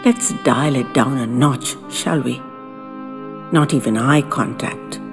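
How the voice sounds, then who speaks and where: A woman narrating a first-person audio story in a wistful, theatrical and deliberate way. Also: rumbling low. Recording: long reverb, music underneath.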